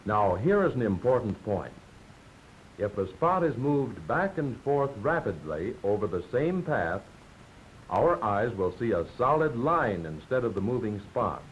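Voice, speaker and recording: Animated 1940s American training film narrator, male. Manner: measured, didactic, clearly explanatory. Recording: dull, noisy 1940s film soundtrack with rumble.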